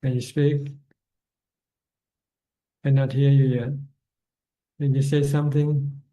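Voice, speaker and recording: Dark flat-voiced male Buddhist teacher. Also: mid-range voice, slow pace, low-quality recording. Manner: repeats words while finding phrasing, plain direct questions. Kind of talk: online dharma Q&A. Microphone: video call.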